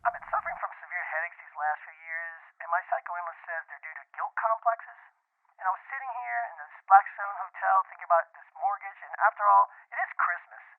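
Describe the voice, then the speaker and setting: A man's voice: high-pitched, animated, fairly quick. A man playing a fretful, fussy businessman over a telephone in an amateur radio drama. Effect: telephone filter. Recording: thin tinny telephone line sound.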